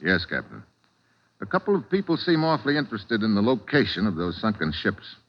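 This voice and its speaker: An actor playing a tough, wry American investigator in a 1940s radio drama, speaking in a blunt, commanding way. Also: male voice, fairly low pitch, big pitch swings, a little fast. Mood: probing, businesslike. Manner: blunt, hard-boiled, commanding. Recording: dull, lo-fi old radio recording.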